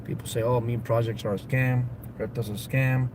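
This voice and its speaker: Raspy man livestreaming from his car. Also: mid-range voice, steady tone, moderate pace. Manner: rambling, repeats himself, upbeat. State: raspy voice from a cold. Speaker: crypto channel host. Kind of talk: casual livestream chat while driving. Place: in a car on the road. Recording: loud road and car noise.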